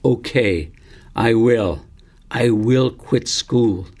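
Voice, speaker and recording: An earnest young schoolboy in a storybook read by a man speaks plainly, with a husky, creaky grain to the voice. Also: medium pitch, extremely slow, darkish tone. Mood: resolute. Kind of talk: storybook character read by the author.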